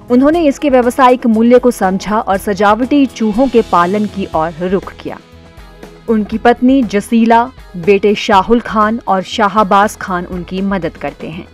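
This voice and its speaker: Woman reading a news feature. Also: medium pitch. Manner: steady formal reading. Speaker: news narrator. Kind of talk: scripted news voice-over.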